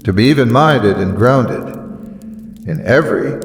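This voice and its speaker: Slow, echoing male motivational narrator. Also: medium pitch, animated. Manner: deliberate, solemn, emphatic with weighty pauses. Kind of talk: dramatic motivational speech narration. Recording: echoey long reverb over music. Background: background music.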